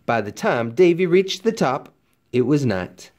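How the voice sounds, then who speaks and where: A man's voice: mid-range voice, very animated, a little slow, darkish tone. A man narrating a children's storybook expressively, with folksy, dramatic emphasis like a teacher reading aloud.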